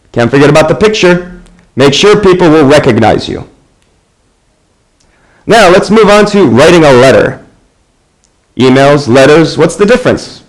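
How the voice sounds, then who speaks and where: A man in his thirties from the United States, narrating an English lesson in a clear, deliberate way. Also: mid-range voice, low-quality recording. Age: 30s. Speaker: English teacher. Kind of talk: scripted classroom narration.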